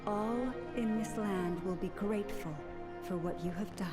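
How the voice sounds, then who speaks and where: Actress as a gracious, measured healer over loud music. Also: female voice, mid-range voice, natural voice. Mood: solemn, proud. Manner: measured, formal, articulate. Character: gracious, caring, businesslike woman healer and leader. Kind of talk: scripted game voice acting.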